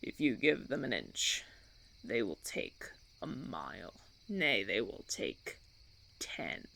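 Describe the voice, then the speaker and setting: Casting: male actor as smooth-talking, menacing vampire for audio roleplay; theatrical, patronizing, wildly varied pitch, some gravel. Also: very animated, bright, forceful tone, a little hiss or hum, exaggerated voice. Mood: coaxing, veiled threat. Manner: theatrical, deliberate, silky persuasion with veiled threats. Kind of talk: audio roleplay, ASMR monologue.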